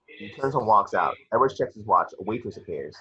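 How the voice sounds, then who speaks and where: A man's voice: lowish voice, animated, fairly quick, pushed and bright. A man reading scripted action descriptions aloud as narrator of a film table read, occasionally stumbling. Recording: dry, dull lo-fi home recording.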